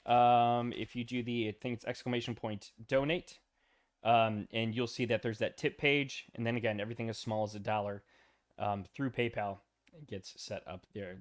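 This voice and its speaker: A man hosting a casual livestream, tired and losing his voice, talking loosely with many fillers and greeting viewers by name. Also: on the low side. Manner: loose and rambling, many ums and uhs, greets chat by name. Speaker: livestream host.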